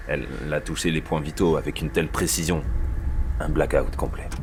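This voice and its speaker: A man acting a grave, worried, secretive police superior in an audio thriller, speaking in a hushed, halting, confiding way. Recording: clear background noise, vehicle-like rumble.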